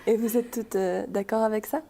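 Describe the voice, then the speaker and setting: A woman's voice: mid-range voice, steady tone, a little fast, vocal fry, dark tone, slight background noise. A woman hosting a radio chronicle, asking polite, formal questions and signing off the show. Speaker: radio columnist and interviewer. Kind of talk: radio interview and sign-off.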